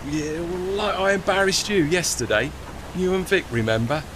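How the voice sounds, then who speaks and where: A man's voice: high-pitched, moderate pace, noisy background, close to an everyday voice. A man in an audio play acting as a loyal, bashful working tugboat with blunt, colloquial speech. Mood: bashful, admiring. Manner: colloquial, blunt, rough grammar.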